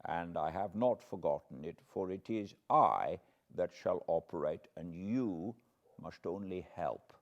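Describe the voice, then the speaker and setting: A man voicing an elderly foreign professor from a classic novel, solemn and fatherly, with quaint, deliberate phrasing. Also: deep voice, expressive, dull audio, pitched below the performer's own voice. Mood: intense, hushed. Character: elderly foreign male professor, grave, tender, paternal, eccentric.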